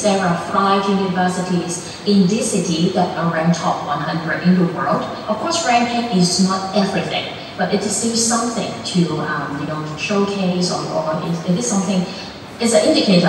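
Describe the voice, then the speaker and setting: A woman with a Hong Kong accent, native Cantonese, gives a hesitant admissions talk full of ums and restarts. Her voice sits a little low. It is recorded from across a reverberant classroom, with a clear hum of room noise behind her. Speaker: university admissions guest speaker. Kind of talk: informal presentation to parents. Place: classroom with large screen. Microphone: far camera. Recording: echoey room from far off.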